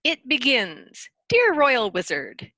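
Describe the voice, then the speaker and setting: A woman acting a small, thin royal wizard, boastful and theatrical. The voice is high and bright, swinging animatedly with a dry, close sound. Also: wildly swooping pitch, slow, no room sound, full character voice. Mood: self-important. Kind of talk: readers theater story acting.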